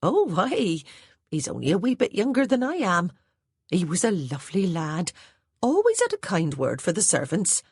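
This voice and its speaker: A woman voicing an elderly, humble household servant who talks briskly in a country dialect. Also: fairly low pitch, huge pitch swings, darkish tone, slightly rough, no room sound, studio quality, voice pushed up, full character voice. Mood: eager. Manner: brisk, says "aye" and "mum" in a country dialect.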